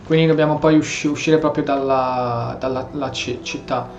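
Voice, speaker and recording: A man's voice: medium pitch, steady tone, fairly quick. A man doing casual game commentary, reading out and translating dialogue as he plays. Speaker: gameplay streamer. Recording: echoey, dull mic with faint noise.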